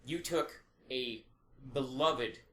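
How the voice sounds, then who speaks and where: A man's voice: mid-range voice, slow, a bit bright. A man co-hosting a casual podcast, giving emphatic, sweary opinions. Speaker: podcast co-host. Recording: roomy echo, faint background noise.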